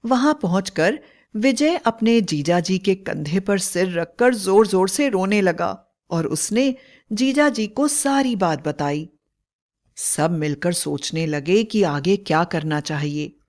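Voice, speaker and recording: A woman narrator tells a scripted tale. She takes it very slowly, at a medium pitch for a woman. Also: animated, a little mellow.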